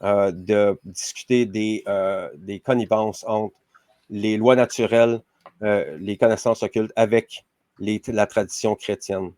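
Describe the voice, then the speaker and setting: Low-voiced Québécois podcast host. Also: male voice, steady tone, measured pace, warm tone, no room sound. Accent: Québécois French. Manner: rambling, many fillers. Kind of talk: casual interview.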